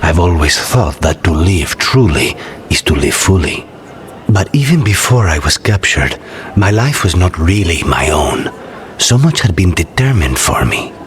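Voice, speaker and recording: A man's voice: low voice, sing-song, a little slow, slight background noise. A man voicing a brooding, courteous assassin with a dry, guarded manner in a video game cutscene. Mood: soft, reflective.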